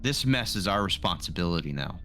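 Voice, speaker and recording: A man's voice: low voice, big pitch swings, a little fast, pushed and bright, faint music behind. A man playing a tabletop roleplaying game, debating plans in a casual way.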